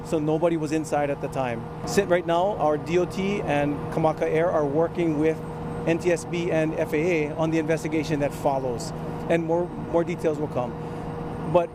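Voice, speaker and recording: A man, a government official, speaking haltingly at a press briefing. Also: a little high. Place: outdoors near the incident scene. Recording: clear outdoor traffic noise.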